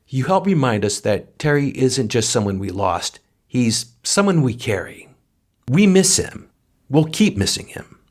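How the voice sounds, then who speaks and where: A man's voice: mid-range voice, very animated, unhurried. Guy reading out memorial messages on a podcast, mid-range voice, earnest and solemn. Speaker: podcast co-host reading listener tributes. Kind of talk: read-aloud memorial tribute.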